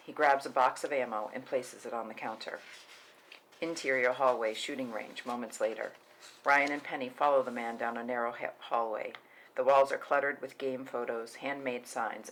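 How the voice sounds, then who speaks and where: A man's voice: medium pitch, steady tone, normal speed, a bit bright, slight background noise, rough recording. A man narrating the action lines and scene headings of a screenplay at a table read. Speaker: stage-direction narrator.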